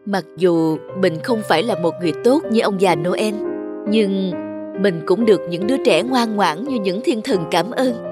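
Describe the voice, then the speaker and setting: A woman's voice: mid-range voice, moderate pace, natural voice. A woman voicing a sheepish, kind-hearted homeless man in a cartoon, with a hesitant manner. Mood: wistful, reflective. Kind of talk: cartoon voice acting. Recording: music behind the voice.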